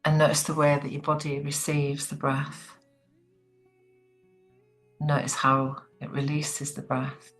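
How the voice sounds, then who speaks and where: A woman leading a guided relaxation meditation in a soothing, gentle, unhurried manner. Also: low voice, level tone, faint music behind, rough recording.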